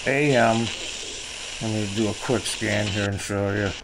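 An older man with an American accent gives a casual, unscripted product review in a halting, plain-spoken way. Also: on the low side, fairly even intonation. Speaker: gadget reviewer. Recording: noisy amateur home recording.

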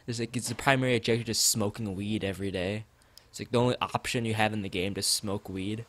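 Low-voiced man streaming a game. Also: steady tone, fast, fairly bright tone. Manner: rambling, drifting asides to chat. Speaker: game streamer reading a visual novel aloud. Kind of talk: live stream commentary mixed with reading aloud. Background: background game music.

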